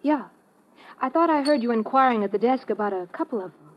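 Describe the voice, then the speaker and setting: Woman playing sly, high-voiced flirt. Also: animated, brisk, close to an everyday voice. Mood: slyly probing. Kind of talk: 1950s radio drama acting. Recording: dull old radio recording.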